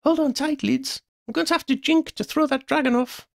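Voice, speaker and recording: A man's voice: very high voice, steady tone, brisk, creaky, dry recording, clean studio sound, voice pushed up. A man voicing a bold, bantering young woman, a broom-flying witch in a storybook, calling out urgently. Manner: shouting, urgent, exclaiming.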